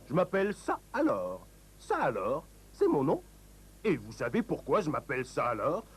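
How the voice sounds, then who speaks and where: A man's voice: a little high, huge pitch swings, normal speed, slightly rough, exaggerated voice. A man voicing a friendly, talkative, eccentric owl in a forest cartoon. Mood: friendly, quirky. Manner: quirky, talkative.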